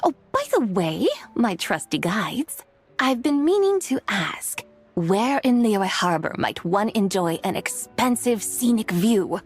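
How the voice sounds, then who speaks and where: A woman's voice: medium pitch, very animated, takes it slowly, soft background music. A woman playing a regal, curious immortal visitor in a video game, speaking in a formal, archaic and stately manner. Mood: composed.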